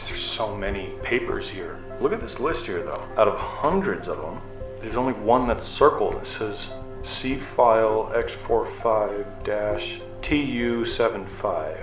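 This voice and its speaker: Audio drama explorer, male actor: lowish, excitable, curious, reckless streak, echoing space with clear music behind. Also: unhurried. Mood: curious discovery. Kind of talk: sci-fi audio drama acting. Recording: roomy, distant mic, music behind.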